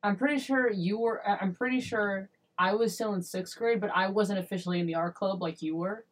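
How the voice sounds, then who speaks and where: An eighteen-year-old woman chatting with friends, dramatic and teasing, with quick reactions. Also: mid-range voice. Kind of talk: casual chat among friends. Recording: voice a bit far from the mic.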